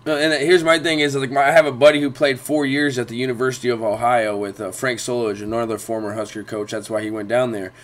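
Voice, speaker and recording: A man's voice: medium pitch, steady tone, fast, hardly any pauses. A man hosting a podcast who chats casually about sports and keeps agreeing with his guest. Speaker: podcast host.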